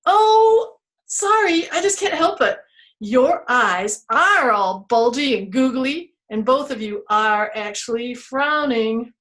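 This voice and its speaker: A woman's voice: lively intonation, slow pace, exaggerated voice. A woman voicing a chatty, joke-loving talking birch tree in a children's story. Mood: apologetic. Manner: bubbly, high and bright, giggly puns.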